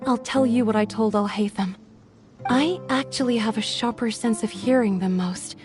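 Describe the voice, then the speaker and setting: A woman's voice: a little high, moderate pace, lightly acted. A woman playing a wary young village woman. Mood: guarded. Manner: soft-spoken, hesitant and guarded. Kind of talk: video game voice acting. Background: game background music.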